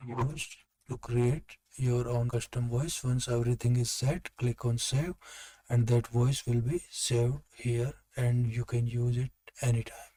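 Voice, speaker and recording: A man narrating step-by-step software instructions as a tutorial presenter. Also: medium pitch, fairly even intonation, a little slow, no room sound. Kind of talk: instructional screen-recording narration.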